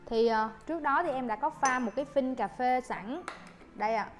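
A woman's voice: high-pitched, normal speed, faint music behind. Polite young woman teaching coffee making. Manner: polite, addresses viewers with soft fillers. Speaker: barista instructor. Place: coffee shop counter indoors. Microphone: lapel mic.

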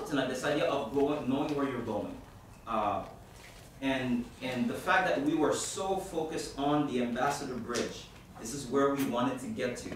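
A man from Haiti, a youth minister, praying and then telling a story to a group in a halting, informal way with many fillers. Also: mid-range voice, a bit bright. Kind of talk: opening prayer and talk to a group. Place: hall at a university centre. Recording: echoey room from far off, background noise.

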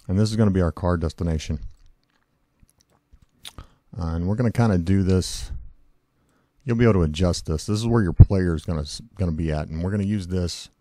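A hoarse man with a cold, casually walking through a software tutorial with lots of fillers and self-corrections. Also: low-pitched, no echo. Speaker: tutorial host. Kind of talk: screen-recorded software tutorial.

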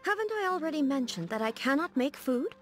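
Game role: dignified warrior sovereign, higher female voice, formal and composed, music underneath. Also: sing-song, a little fast. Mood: earnest, thoughtful. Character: serene, dignified female ruler, a warrior devoted to eternity. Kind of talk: scripted video game character dialogue.

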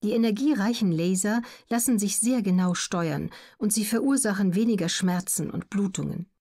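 A woman narrating scripted educational documentary material as an audiobook reader, measured and carefully articulated. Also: mid-range voice, warm tone.

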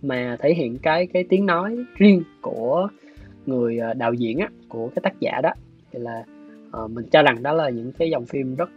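A man in his thirties with a southern Vietnamese accent explains his views in a measured, hesitant interview style. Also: mid-range voice. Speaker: guest, animation director. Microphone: video call. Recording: dull video-call audio, music behind. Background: soft plucked guitar music.

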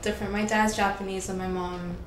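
A woman's voice: medium pitch, little pitch movement, a little fast. A woman in her twenties chatting casually as a podcast guest. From born in Tanzania. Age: 20s. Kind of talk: casual chat. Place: indoor bar with brick walls. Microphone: condenser mic on table, a little distant. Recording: slightly noisy, echoey room, off mic.

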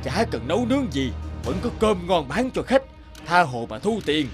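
A man's voice: fairly high pitch, sing-song, normal speed, music in the background. A man voicing a sly, greedy old innkeeper in a cartoon. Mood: gloating, scheming. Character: sly, greedy old male innkeeper, scheming then pleading. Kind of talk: cartoon voice acting.

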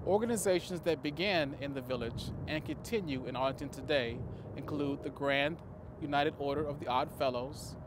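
A man in his thirties gives a scripted history talk outdoors, speaking into a lapel mic in a measured, formal way. His voice sits a little high, and steady traffic hum is clearly heard behind him. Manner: measured, formal delivery to camera. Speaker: cemetery staff presenter. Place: outdoors in a cemetery.

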